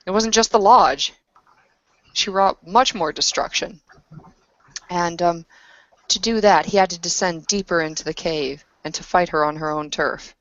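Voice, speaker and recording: A woman improvising a story in a tabletop roleplaying session, speaking in a halting, measured way with pauses. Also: on the low side, no room sound. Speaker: game master and player narrating a tabletop roleplaying session. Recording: dull lo-fi audio.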